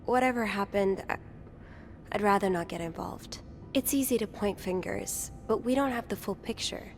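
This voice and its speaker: A woman's voice: medium pitch, normal speed, vocal fry, faint music behind, natural voice. A woman playing a timid young hotel maid. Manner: hesitant, gentle, stammers.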